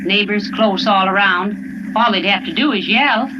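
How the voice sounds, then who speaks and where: A woman's voice: mid-range voice, unhurried, natural voice. An actress playing a worried, nervous wife and mother in an old-time radio drama. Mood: fretful. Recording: distant, muffled, noisy old radio recording.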